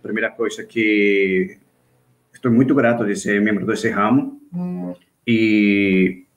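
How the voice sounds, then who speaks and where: A man from Venezuela with a Venezuelan Spanish accent, a native Spanish speaker, teaching an online church class in a halting way, with restarts and checks for agreement. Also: on the low side, steady tone, reverberant. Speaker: Sunday school teacher. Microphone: video call.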